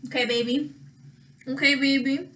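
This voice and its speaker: A young woman with a slightly raspy voice chatting casually through a makeup tutorial, thinking aloud and pausing as she works. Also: high voice, steady tone, a bit bright. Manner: thinking aloud, drawn-out pauses while applying makeup. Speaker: makeup vlogger.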